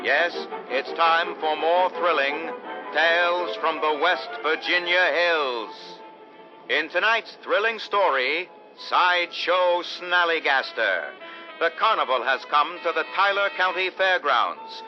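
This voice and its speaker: An actor playing the portentous, theatrical host of a vintage radio horror anthology, narrating from a script. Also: male voice, a little high, takes it slowly. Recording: dull vintage radio sound, music behind.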